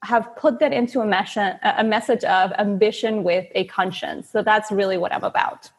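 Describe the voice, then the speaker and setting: A woman's voice: mid-range voice, moderate pace, husky. Woman from Venezuela, earnest coach, echoey. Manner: earnest, expressive storytelling. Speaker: guest, manifestation coach. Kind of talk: podcast interview.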